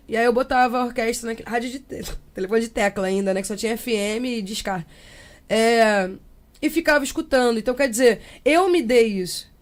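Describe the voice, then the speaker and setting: A young Brazilian woman speaking casually and reflectively as an interview guest, expressive and slangy, slipping into imagined quotes. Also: on the high side. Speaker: interview guest, percussionist and samba singer.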